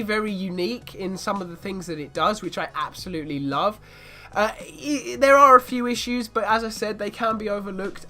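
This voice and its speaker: A man's voice: high voice, pushed and bright. A man with a bad cold giving a casual spoken review of a video game, chatty and fond of repeating "really, really".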